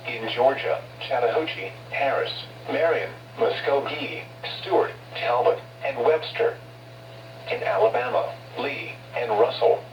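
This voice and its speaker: A man's voice: medium pitch, a little slow, echoey room, recorded from a distance, dull audio. Stilted male weather radio announcer, noisy reception. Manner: formal, stilted, synthetic-sounding delivery. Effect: radio broadcast. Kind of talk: scripted emergency weather bulletin. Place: recorded off a radio receiver.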